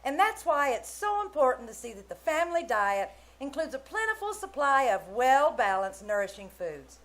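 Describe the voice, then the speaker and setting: A woman's voice: sits high, sing-song, moderate pace, fairly bright tone. A woman announcer giving an earnest, scripted sales read in the style of a 1940s radio commercial.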